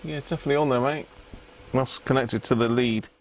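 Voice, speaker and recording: A man's voice: mid-range voice, fairly even intonation, fast talker. A British man hosting a live repair stream, talking casually and half to himself as he works, often tagging questions onto his remarks. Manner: muttering to himself, tag questions. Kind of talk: casual live bench commentary.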